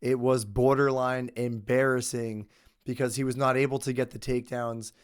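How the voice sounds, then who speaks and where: A young adult man with a head cold talks through fight predictions in a relaxed, conversational way. His voice stays smooth and clear at a middle pitch, even though he says he is losing it. He states his opinions with confidence. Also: moderate pace. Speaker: host. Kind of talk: casual sports picks commentary.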